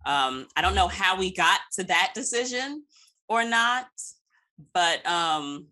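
A woman with a low-pitched, forceful voice hosts a podcast. She chats casually and playfully, full of slang and easy swearing. Her tone is bright and direct. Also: animated, takes it slowly. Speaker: podcast host. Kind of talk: casual chat.

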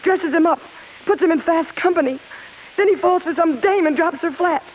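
High-voiced, brassy, emotional star wife. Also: female voice, moderate pace. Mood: hurt indignation. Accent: American. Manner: emotional, slangy. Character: spirited, wounded star wife, brassy and pleading. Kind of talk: 1950s radio drama acting. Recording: muffled, hissy 1950s radio broadcast.

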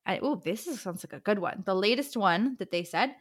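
A woman's voice: mid-range voice, expressive, a little fast. A woman co-hosting a book podcast, chatting casually and reading out answers in an enthusiastic, playful way.

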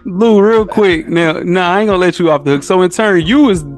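A man's voice: high voice, brisk, faint music behind. An American man chatting casually and informally as a podcast co-host. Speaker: podcast co-host appearing as guest. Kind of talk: casual chat.

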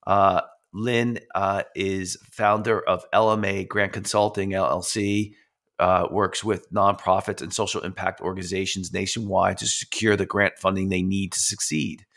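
A man hosting a podcast, nasal from a head cold. His voice sits fairly low and has a gravelly fry, and he talks haltingly, full of fillers and restarts. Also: little pitch movement, fairly bright tone. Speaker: podcast host. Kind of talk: casual interview, reading sponsor spots.

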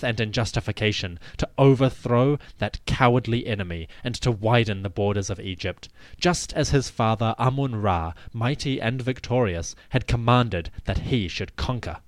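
A man's voice: fairly low pitch, expressive, moderate pace, no room sound. A man narrating scripted history as a dramatic, stately and emphatic storyteller. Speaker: host and narrator.

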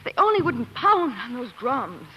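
A woman's voice: sits high, very animated, normal speed, lo-fi sound. A woman acting in a 1940s radio drama as a devoted, plucky young doctor's wife, on a noisy old broadcast. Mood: concerned. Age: young adult.

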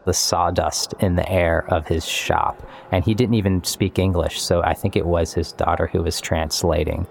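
A man's voice: sits low, fairly even intonation, normal speed, gravelly, few pauses. A man speaking as a composer in an interview, reflecting on his work in a thoughtful, explanatory way.

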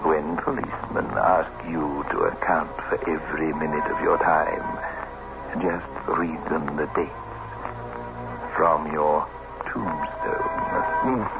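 An actor playing a macabre, gloating horror-show host with sinister, theatrical, drawn-out 1960s radio delivery. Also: male voice, a bass voice, wildly swooping pitch, gravelly, heavily acted. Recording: muffled old radio recording, loud music.

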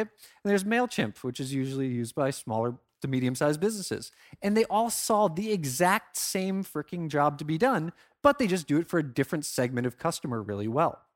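Guy with a slightly high voice doing a product talk on stage, informal, stresses his main points. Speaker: conference speaker, product marketer. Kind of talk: conference talk, conversational presenting.

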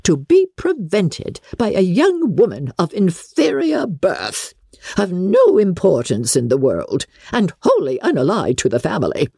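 An imperious older aristocratic lady in a dramatic audiobook. Her pitch swoops widely in a low, husky voice as she lays down the law with pompous indignation. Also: wildly swooping pitch, measured pace, warm tone, no echo, studio quality, full character voice. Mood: indignant. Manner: pompous, declamatory, emphatic, formal. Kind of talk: dramatic audiobook reading of classic literature.

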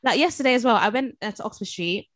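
A young woman with a slightly high voice tells animated stories on a podcast, acting out her own reactions as she goes. The recording is dry and close. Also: quick pace, no room sound. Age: young adult. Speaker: podcast co-host. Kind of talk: casual chat.

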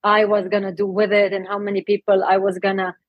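A middle-aged woman from Lebanon, a health coach and guest, talking in a relaxed interview and laughing often. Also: medium pitch, fast, dry recording, highs cut off. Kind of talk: casual interview talk.

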